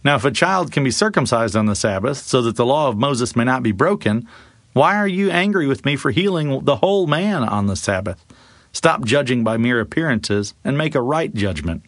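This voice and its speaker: A man's voice: mid-range voice, animated, moderate pace, dry recording. Man voices earnest male preacher-teacher for audio scripture, emphatic and declarative, dry close sound. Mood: firm, earnest.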